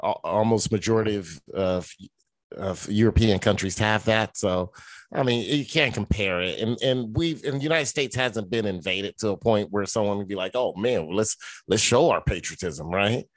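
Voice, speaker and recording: A man with a low voice argues his point bluntly in casual podcast talk, swearing freely as he goes. The recording is dry and close. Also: moderate pace, no echo.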